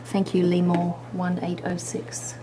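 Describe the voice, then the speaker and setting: A woman's voice: on the low side, monotone, measured pace, very noisy. A woman with an Australian accent co-hosting a live craft show, bubbly and gushing with enthusiasm.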